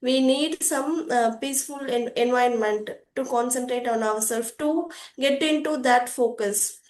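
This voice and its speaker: A woman speaking calmly and earnestly as a guest in a casual conversation over a video call, laughing often. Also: fairly high pitch, measured pace. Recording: echoey room.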